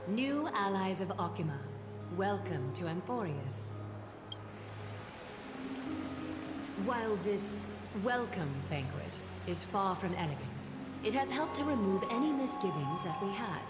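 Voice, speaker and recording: A woman's voice: medium pitch, animated, close to an everyday voice. Poised regal seer lady, acted by a woman, roomy echo. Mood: formal, gracious. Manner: formal, measured, gracious speech. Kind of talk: scripted video game voice acting. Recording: roomy echo under loud game music.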